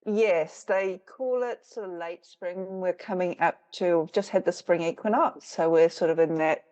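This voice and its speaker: A woman's voice: on the low side, moderate pace, a little mellow. A middle-aged woman with a New Zealand accent, a guest in an interview, telling her story in a reflective, easygoing way with easy laughter. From rural New Zealand. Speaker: guest, witch and author. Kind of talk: conversational interview.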